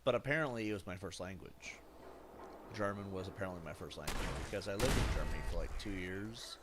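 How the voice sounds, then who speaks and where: Deep-voiced man in his thirties rambling. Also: fast talker. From United States. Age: 30s. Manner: rambling, lots of fillers and self-corrections. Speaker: gaming streamer. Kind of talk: casual chat while playing a game. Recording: steady background noise.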